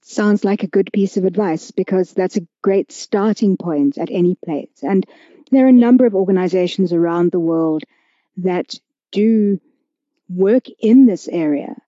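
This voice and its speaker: A woman hosting a conversational podcast interview, asking questions in a measured, deliberate way. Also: mid-range voice, dark tone, dry recording. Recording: dull, lo-fi audio.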